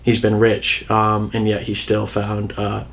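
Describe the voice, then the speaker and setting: A man's voice: fairly low pitch, completely flat delivery, measured pace, gravelly, a bit bright. A man in his early twenties, a musician guest, talking in a laid-back, easygoing way and thinking aloud. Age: 20s. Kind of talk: casual chat.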